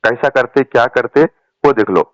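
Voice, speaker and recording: A man's voice: mid-range voice, moderate pace, no echo. A man with a Hyderabadi accent talking politics in an emphatic, colloquial, repetitive way on a recorded phone call. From Telangana, India. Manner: emphatic, repeats phrases, colloquial. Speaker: party supporter on a phone call. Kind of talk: political rant in casual phone conversation.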